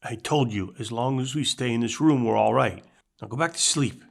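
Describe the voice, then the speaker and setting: Male actor as gruff older man for drama, weary and stern, terse delivery, heavy fry and rasp. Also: mid-range voice, moderate pace. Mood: drowsy, dismissive. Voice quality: gravelly, husky. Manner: terse, commanding. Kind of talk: screenplay table read acting.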